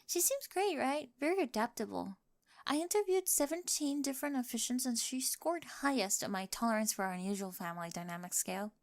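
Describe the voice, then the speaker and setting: A woman plays an eager, data-obsessed young bride in an audio roleplay. Her voice sits high with a creaky edge, and she speaks in earnest, nerdy, exact terms, full of affection. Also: expressive, a little fast. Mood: bubbly, affectionate. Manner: earnest, nerdy, precise scientific phrasing. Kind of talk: scripted ASMR roleplay acting.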